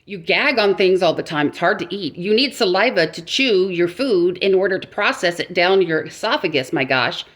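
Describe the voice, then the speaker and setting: A woman's voice: on the low side, lively intonation, moderate pace, hardly any pauses, fairly bright tone. Rambling woman with a dry mouth. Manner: rambling, self-mocking, with frequent restarts and laughs. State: dry mouth, struggling to talk. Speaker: vlogger. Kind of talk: casual vlog monologue.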